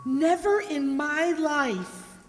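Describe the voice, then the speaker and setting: A woman's voice: high voice, animated, drawn-out words, faint music behind, exaggerated voice. A woman playing a prim, fretful and naive schoolteacher with a hesitant, plaintive manner in an old-time radio drama style, in a reverberant recording. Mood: earnest, fussy. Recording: very reverberant room or hall.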